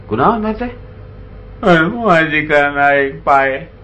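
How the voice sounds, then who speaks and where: Comedy partner, bright punchy voice, reacts then tells his own jokes. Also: a little high, animated, slow, a little hiss or hum. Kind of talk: comic storytelling in a duo.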